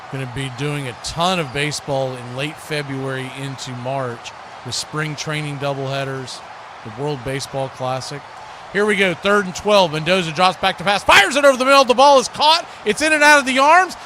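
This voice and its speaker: Over clearly noisy live-stream audio, an American man calls a football game as host and play-by-play commentator. His voice sits a little high for a man. He talks in a loose, folksy, conversational style, without a script. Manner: conversational, folksy, rises to excited shouts on big plays.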